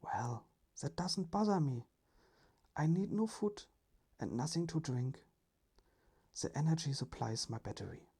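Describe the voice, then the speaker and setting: A storyteller voicing a polite, innocent little robot in a breathy, whispered ASMR storybook reading. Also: medium pitch, expressive, unhurried, long pauses, mellow, voice pushed up. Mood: matter-of-fact.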